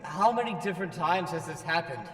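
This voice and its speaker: A man's voice: fairly high pitch, expressive, fairly quick, a bit bright, pitched above the performer's own voice. Man as a reverberant, laid-back, curious supernatural guy. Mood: curious, doubtful. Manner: questioning, flippant. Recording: echoey long reverb, faint music behind.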